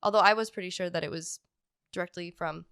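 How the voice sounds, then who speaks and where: A woman's voice: fairly low pitch, fairly even intonation, quick pace, fairly bright tone, smooth, no room sound, clean studio sound. A woman in her thirties, stuffy from a bad cold, co-hosting a podcast in a chatty, joking way that is full of laughter. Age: 30s. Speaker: podcast co-host. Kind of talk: casual chat.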